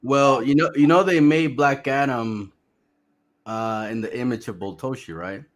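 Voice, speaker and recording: A man's voice: medium pitch, measured pace. A man co-hosting a casual livestream, wry and teasing, dropping in dry jokes. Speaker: co-host. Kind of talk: casual chat.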